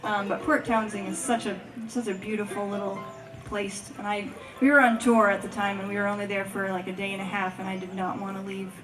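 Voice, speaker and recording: A woman's voice: medium pitch, level tone, fairly quick, far from the mic, low-quality recording. A woman, a singer and bandleader, chatting casually and effusively to introduce songs from a live concert stage. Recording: live concert sound from off, guitar picking.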